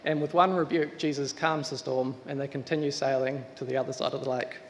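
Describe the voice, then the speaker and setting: A man's voice: mid-range voice, level tone. A man giving an earnest address to a church congregation, welcoming a new pastor. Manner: earnest, measured, with restarts. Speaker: search committee member. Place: church hall. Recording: reverberant church hall, faint room noise.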